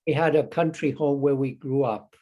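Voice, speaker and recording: An older man from Chile with a Chilean Spanish accent, a Zen teacher talking as an interview guest, halting with many restarts and fillers. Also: medium pitch, warm tone, dull audio. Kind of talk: casual interview conversation.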